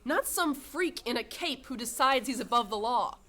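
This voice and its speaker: An actress playing a hardened, skeptical police lieutenant in a radio drama, challenging and dismissive. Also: female voice, fairly high pitch, animated, moderate pace, pushed and bright. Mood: skeptical, scornful.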